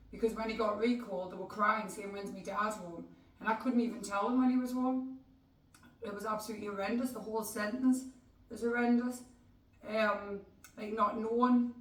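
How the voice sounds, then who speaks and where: A woman's voice: medium pitch, fairly bright tone. A woman from North East England, speaking frankly and with feeling as a podcast guest. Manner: emotional, frank. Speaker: guest, host's wife. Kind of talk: casual podcast chat. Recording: echoey room, distant mic.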